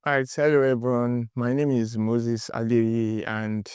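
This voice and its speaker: A young Nigerian man speaks as a student interviewee, his voice smooth and clear. He wanders through his answers with pauses and fillers, and the sound is dry and close. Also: mid-range voice, level tone, a little mellow, no echo. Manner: hesitant, rambling, many fillers.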